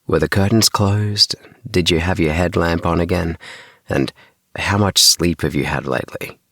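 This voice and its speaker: Guy doing a nosy cheeky schoolgirl in a kids audiobook, lowish voice, always asking stuff. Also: normal speed, fairly bright tone, dry recording, clean studio sound. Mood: teasing, curious. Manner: questioning, probing. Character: inquisitive, cheeky schoolgirl friend, Priya. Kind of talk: children's audiobook character dialogue.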